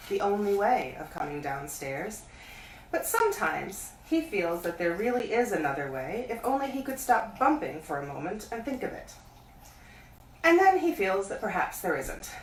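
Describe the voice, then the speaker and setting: Woman storyteller, highly varied intonation, reading bedtime tales, set back from the mic with slight hiss. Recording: echoey room, distant mic, faint hiss.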